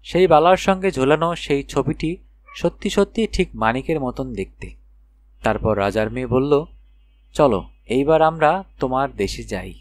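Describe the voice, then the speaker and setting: A man reads a children's fairy tale as an audiobook narrator. His voice sits at an average male pitch and is expressive in delivery, captured very dry with no room sound. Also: no echo.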